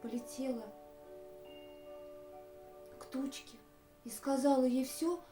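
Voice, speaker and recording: A woman telling a children's tale, with dramatic pauses and expressive repetition. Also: high-pitched. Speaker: storyteller. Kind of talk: children's storytelling, improvised. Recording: roomy echo, faint music behind.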